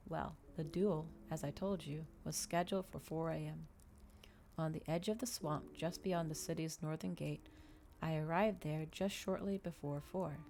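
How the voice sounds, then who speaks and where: A woman reading a story aloud in ASMR style, soft-spoken and measured, as a streamer narrating a novel. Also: sits low, slight background noise.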